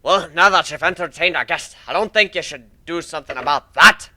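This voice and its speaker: Brash fantasy battle leader, played by a male reader: sharp, raised voice, commanding and mocking. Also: fairly high pitch, brisk, no echo, pitched above the performer's own voice. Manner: commanding, mocking, shouts orders.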